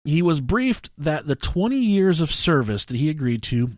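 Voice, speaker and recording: A man co-hosting a podcast, telling stories casually and comically in a profane, halting way. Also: on the high side, very animated, a little mellow, no echo, studio quality. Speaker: podcast co-host.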